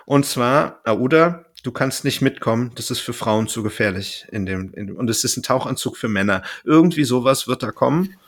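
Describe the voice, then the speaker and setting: A man hosts a casual podcast. His voice sits at a medium pitch. He rambles a little, thinking aloud and reworking his sentences as he goes. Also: animated, normal speed, few pauses. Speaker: podcast host.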